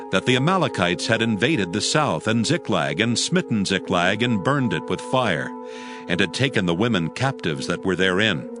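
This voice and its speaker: A male narrator reads scripture in a solemn, measured storytelling style. His voice is on the low side, and music plays clearly behind him. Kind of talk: scripted scripture narration, dramatized audio Bible.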